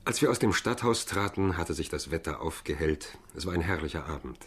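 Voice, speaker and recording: An actor playing a calm, observant male lawyer who narrates and talks in a German radio drama, with a husky voice. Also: rumbling low, fairly quick, heavily acted. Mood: measured, observant. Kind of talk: scripted first-person radio drama narration and dialogue.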